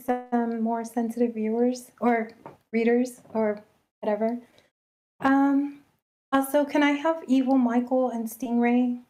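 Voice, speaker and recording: A woman's voice: a little high, mellow, poor audio. A woman screenwriter chatting casually, with a polite and hesitant way of talking. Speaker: screenwriter at a table read. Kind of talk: casual chat. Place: online voice meeting.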